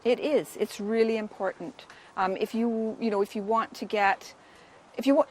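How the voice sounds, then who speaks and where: Canadian-accented woman, 60s, volunteer, sincere and reflective; faint noise behind. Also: medium pitch. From Wales. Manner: earnest, thoughtful, many ums. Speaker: dig volunteer. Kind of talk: on-camera interview.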